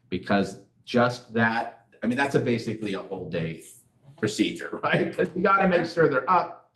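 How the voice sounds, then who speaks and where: A man's voice: lowish voice, fairly bright tone, distant mic. A middle-aged man giving a casual community class, talking conversationally and mixing in personal stories and rhetorical questions. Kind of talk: casual educational talk. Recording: roomy echo, voice off-mic.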